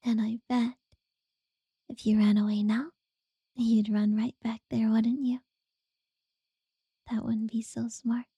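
A woman voicing an obsessive, possessive captor in a whispered audio roleplay, slow and unsettlingly sweet. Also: a little high, no room sound, full character voice. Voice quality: soft breathy whisper.

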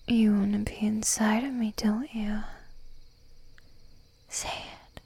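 A woman whispering close to the mic in an adult roleplay, dominant and teasing, giving commands in a breathy whisper. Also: medium pitch, level tone, slow, mellow.

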